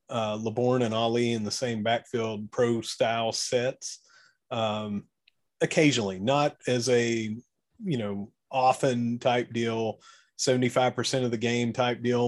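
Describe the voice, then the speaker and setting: Mid-pitched man chatting about football. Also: a little mellow. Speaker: sports podcast co-host. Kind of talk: casual football analysis chat.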